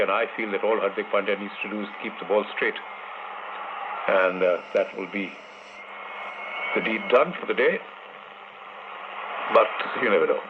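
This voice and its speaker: A man giving live ball-by-ball cricket commentary on the radio, chatty and wry, laughing at the fielding errors and lifting when the big shots come. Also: on the low side. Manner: chatty, wry, laughs at dropped catches, rises in excitement on big hits. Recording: tinny broadcast radio off the air with static hiss.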